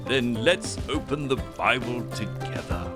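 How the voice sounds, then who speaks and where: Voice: medium pitch, measured pace, lightly acted. A voice actor playing a kindly, encouraging grown-up storyteller character in a preschool cartoon. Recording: music playing behind.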